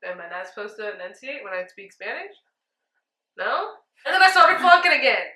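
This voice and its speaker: Young woman, very bright tone, chatty and jokey, casual makeup chat, echoey home room. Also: fairly high pitch, normal speed. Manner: chatty, jokey, animated storytelling. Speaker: makeup artist host. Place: small indoor room at home.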